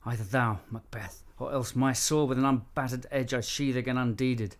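An actor playing a fierce, grieving, vengeful warrior in a classical radio drama, declaiming impassioned verse. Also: male voice, mid-range voice, fairly even intonation, normal speed, close to an everyday voice.

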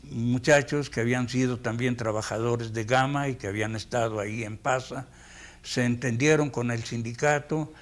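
A man in his eighties with a Mexican accent, a veteran animator recalling his career haltingly in an interview. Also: medium pitch. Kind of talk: reminiscing interview. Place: indoor room, close interview shot.

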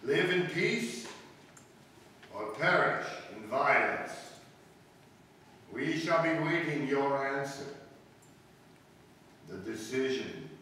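A man playing a solemn alien envoy in a 1950s-style radio drama, giving grave, measured warnings. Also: mid-range voice, sing-song, pushed and bright, recorded from a distance, full character voice. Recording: noisy echoey hall, captured from afar.

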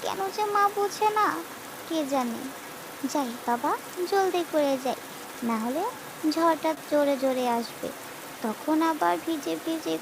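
Woman as a high-pitched, coaxing little girl. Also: measured pace, creaky, long pauses, noisy background. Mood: carefree. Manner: childlike, coaxing. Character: stubborn, pleading young village girl who loves rain. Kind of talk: cartoon voice acting. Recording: rain sounds over voice.

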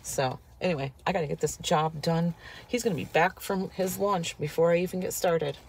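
A woman's voice: lowish voice, moderate pace, gravelly. A woman in her fifties chatting casually to her camera from inside a car, rambling and laughing often, a little stuffy from a cold. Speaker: vlogger. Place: inside a parked and moving car. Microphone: phone camera on the dashboard. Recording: car noise audible behind voice.

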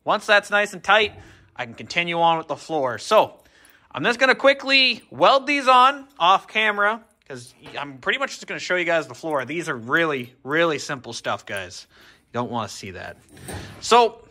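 A man's voice: on the high side, big pitch swings, normal speed, pushed and bright. A young man casually walking viewers through his garage build, easygoing and poking fun at his own mistakes, filmed on an action camera. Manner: easygoing, self-deprecating, talks straight to viewers. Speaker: garage builder showing a project. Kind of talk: casual how-to workshop vlog. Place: large indoor workshop garage. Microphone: handheld or body-worn action camera.

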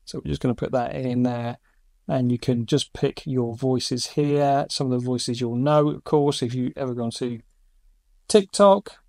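A middle-aged man hosting a casual screen-recorded review, enthusiastic, with quick asides between demos. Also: medium pitch, vocal fry, a little mellow, dry recording, studio quality. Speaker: YouTube host reviewing AI voice tools.

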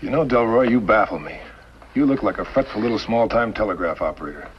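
A man's voice: fairly low pitch, lively intonation, fast, close to an everyday voice. A man in his thirties playing a blunt, skeptical cowboy outlaw in a 1950s TV western, with a sardonic delivery. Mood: scornful. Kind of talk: 1950s television western acting. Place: small wooden cabin set, indoors. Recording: muffled, noisy old 1950s TV soundtrack.

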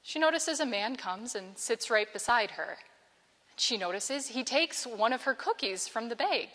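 A young woman vicar preaching a sermon in an earnest, measured way, on a lapel mic in a large church sanctuary. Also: on the high side, animated, sharp tone. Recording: long church reverb.

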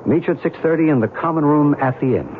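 A man's voice: on the high side, quick pace, lightly acted. An actor playing a knowing, courteous and mysterious American man in a 1970s radio drama. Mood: cryptic, assured. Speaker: actor and narrator. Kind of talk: 1970s radio drama acting and first-person narration. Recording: narrow-band, noisy old radio broadcast.

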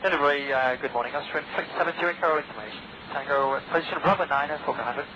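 A man's voice: fairly high pitch, very fast. A man speaking as an airline pilot, making requests and readbacks over aviation radio. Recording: muffled, noisy cockpit radio.

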